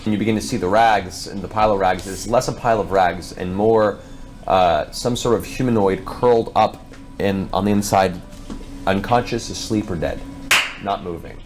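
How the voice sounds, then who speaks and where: A man narrating a tabletop game as game master, describing scenes in improvised, halting phrases. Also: low-pitched.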